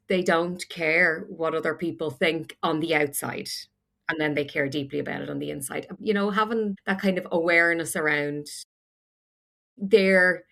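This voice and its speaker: Irish woman, forties, low gravelly voice, frank storyteller with a wry edge. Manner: frank, animated, self-deprecating humour. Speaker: podcast guest. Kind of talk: candid storytelling chat.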